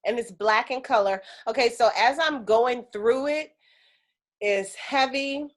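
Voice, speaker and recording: A woman teaching an energy healing class on a video call, chatty and animated, with lots of fillers. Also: a little high, a little slow.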